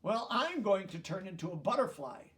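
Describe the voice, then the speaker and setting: A man's voice: sits high, sing-song, moderate pace, fairly bright tone, distant mic. A man voicing a proud, self-important female caterpillar in a storybook, speaking in boastful declarations.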